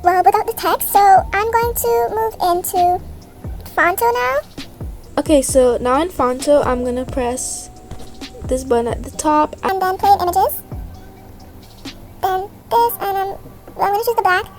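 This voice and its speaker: Casting: female tutorial creator, very high girlish voice, informal step-by-step explaining, music underneath. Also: lively intonation, unhurried, long pauses. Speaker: video creator giving a tutorial. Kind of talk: casual step-by-step tutorial voice-over.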